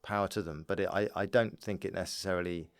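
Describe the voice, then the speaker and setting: A man's voice: low-pitched, fairly even intonation, quick pace, clean tone, dry recording. A man talking as a comedian guest in a casual chat, rambling and often repeating his words.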